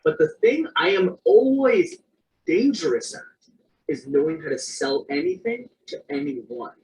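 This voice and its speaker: A man speaking in a played video clip, delivering a persuasive, very animated presentation. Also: high-pitched, measured pace, creaky. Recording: distant mic with faint noise, played clip.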